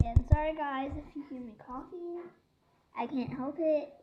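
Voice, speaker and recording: A young woman with a sore, hoarse throat from coughing, talking to her viewers in a halting, apologetic way with pauses and fillers. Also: high-pitched, muffled recording. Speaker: vlogger. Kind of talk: casual vlog talk to viewers.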